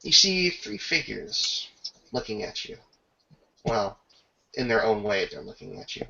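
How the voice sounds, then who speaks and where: Bright, gravelly man running a tabletop game. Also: fairly low pitch, big pitch swings, unhurried. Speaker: game master of a tabletop roleplaying session. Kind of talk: improvised game narration and rules talk. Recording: dull lo-fi voice chat.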